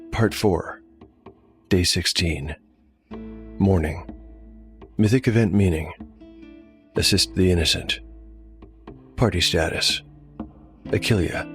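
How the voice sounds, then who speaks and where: Low-voiced man narrating dark fantasy over music. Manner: measured storytelling. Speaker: narrator and game master.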